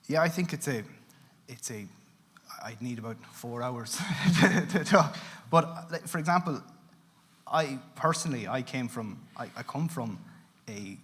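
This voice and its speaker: A young Irish man speaking on a panel, earnest and halting, often repeating words. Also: mid-range voice, lively intonation, fairly bright tone. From Ireland. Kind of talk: panel discussion. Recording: reverberant hall, faint ambient noise.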